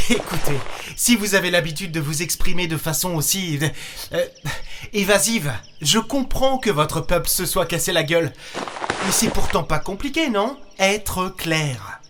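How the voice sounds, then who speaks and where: A man's voice: high-pitched, lively intonation, measured pace, a bit bright, a little hiss or hum. A man playing a wary, sarcastic starship captain in a sci-fi audio drama, speaking in a blunt, colloquial way. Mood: sardonic. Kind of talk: French sci-fi audio drama, acting and first-person narration. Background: music.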